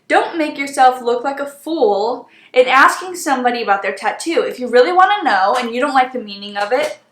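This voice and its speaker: A young woman in her twenties chats through a story time while doing her makeup, her voice sitting a little high. She sounds emphatic and exasperated, and the room around her rings with a noticeable echo. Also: animated, fairly quick, fairly bright tone. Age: 20s. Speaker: beauty vlogger. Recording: echoey room.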